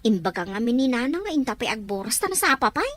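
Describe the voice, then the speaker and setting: A woman's voice: a little high, sing-song, fast, a bit bright, no room sound. A woman playing a work-shy young student sister in a family radio drama, complaining and pleading. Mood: urgent.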